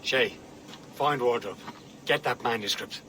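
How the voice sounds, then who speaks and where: A man's voice: medium pitch, measured pace, bright, forceful tone, a little hiss or hum. An actor playing a stern, resolute male assassin mentor in a video game cutscene, firm and emphatic. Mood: stern, insistent.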